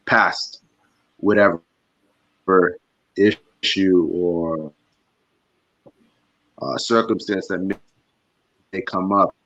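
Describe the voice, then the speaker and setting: A man on a live chat show who talks haltingly and searches for his words. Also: low voice, fairly bright tone, no room sound, lo-fi sound. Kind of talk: casual chat.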